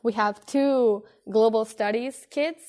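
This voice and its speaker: A woman's voice: a little high, takes it slowly, darkish tone. A woman in her twenties from Spain, hosting a casual podcast chat with friends, loose and laughing with many fillers. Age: 20s. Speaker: podcast host.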